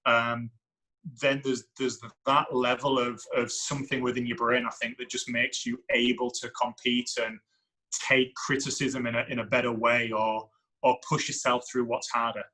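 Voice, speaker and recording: A man in his thirties, a soccer coach, with a deep voice and a non-American accent, answering interview questions in a reflective, thinking-aloud way. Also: flat delivery, normal speed, sharp tone, low-quality recording. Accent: foreign to the United States. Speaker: women's soccer head coach.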